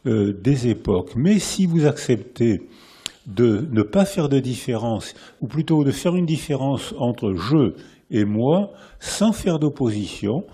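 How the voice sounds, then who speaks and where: Husky French man giving a lively lecture. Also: medium pitch. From France. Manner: lively, restarts phrases, builds points by repetition. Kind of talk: public lecture to a live audience. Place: lecture hall with an audience.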